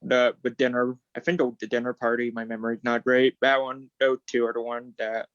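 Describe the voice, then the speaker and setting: A man's voice: medium pitch, flat delivery, fairly quick, fairly bright tone, clear, resonant tone, no room sound. Casual man on dry, dull call audio. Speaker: actor in the play. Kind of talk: casual chat. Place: indoors on a video call.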